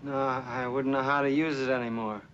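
A man acts as a submissive, defeated town drunk from an old TV Western. He speaks hesitantly at a middling pitch. The vintage recording is dull with faint noise. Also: flat delivery, brisk, fairly bright tone, close to an everyday voice. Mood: meek, defeated. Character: meek, beaten-down town drunk in the Old West. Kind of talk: 1950s television Western acting. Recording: dull, noisy vintage TV soundtrack.

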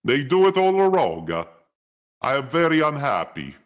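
A man's voice: fairly high pitch, big pitch swings, unhurried. A man putting on a goofy mock-character with a hammy, sing-song delivery while joking through a movie. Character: goofy mock-Italian man, cheerful and silly.

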